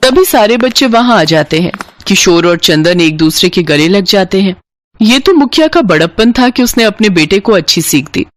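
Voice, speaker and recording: A woman's voice: medium pitch, animated, moderate pace, dry recording. A woman narrating a scripted children's moral story.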